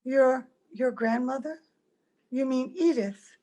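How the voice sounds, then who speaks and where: An actress playing a gentle, wistful, mysterious wife in a radio play, speaking with tender care. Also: female voice, high-pitched, takes it slowly. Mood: yearning. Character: gentle, wistful ageless wife, mysterious visitor, secretly old. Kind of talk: old-time radio drama acting. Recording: home video call with music.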